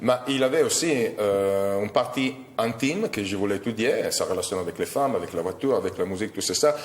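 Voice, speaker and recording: A man's voice: lowish voice, lively intonation, a little fast, roomy sound, big-room sound. An Italian man with an Italian accent, a guest actor giving an interview, hesitating often as he searches for his French words. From Italy.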